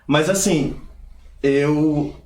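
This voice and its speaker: Young guy from pará, fairly high voice, earnest and measured, echoey room. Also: a little mellow. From Brazil, Pará. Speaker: interview guest. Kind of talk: conversational interview.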